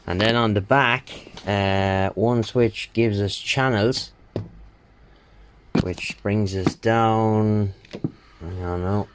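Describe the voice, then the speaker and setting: An Irish man talking casually through a repair job, thinking aloud in an unhurried, colloquial way. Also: on the low side. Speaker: radio repair technician. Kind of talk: casual spoken bench walkthrough. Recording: steady background noise, bench clicks.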